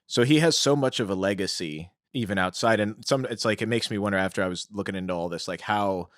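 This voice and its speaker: A man's voice: lowish voice, quick pace, dry recording, clean studio sound. A man co-hosting a podcast, explaining background details in an informal, conversational way. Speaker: podcast co-host. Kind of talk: casual explanatory podcast chat.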